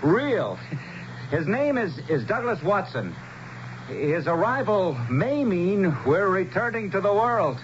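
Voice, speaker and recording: An actor playing an earnest, cautious town leader who addresses anxious townsfolk haltingly in a radio drama. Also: male voice, high-pitched, sing-song, slight background noise, exaggerated voice. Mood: urgent, cautious. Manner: halting, repetitive public address. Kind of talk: 1970s radio drama acting. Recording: dull old radio broadcast.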